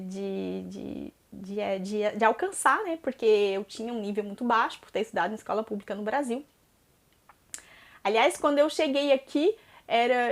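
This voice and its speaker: A Brazilian woman in her thirties speaks with a Brazilian Portuguese accent in a slightly high voice. She talks through a personal vlog in a relaxed, conversational way, tagging her sentences with "né?" and sometimes stumbling and restarting before she carries on. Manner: conversational, frequent "né?" tags and hesitant restarts. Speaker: vlogger. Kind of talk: personal vlog monologue answering viewer questions.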